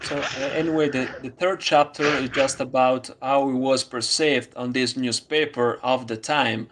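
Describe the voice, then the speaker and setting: A man with an Italian accent, speaking English as a second language in a casual conversation, halting with many fillers. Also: medium pitch, steady tone, background noise. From Italy. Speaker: student.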